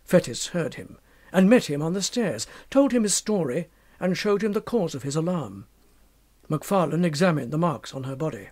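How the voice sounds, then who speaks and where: A man narrating a literary tale as an audiobook, dramatic, measured and formal in his delivery. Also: fairly high pitch, lively intonation, darkish tone.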